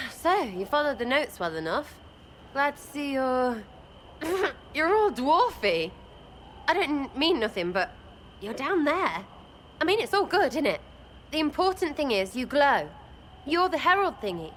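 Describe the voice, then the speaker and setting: A brash young elf archer from a fantasy game. Her English-accented voice sits high and swoops expressively as she rambles in cheeky slang. There is faint noise behind her. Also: sing-song, a little slow, fairly bright tone, exaggerated voice. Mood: cheeky, breezy. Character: brash, irreverent young elf woman archer, chatty rebel. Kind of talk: video game character acting.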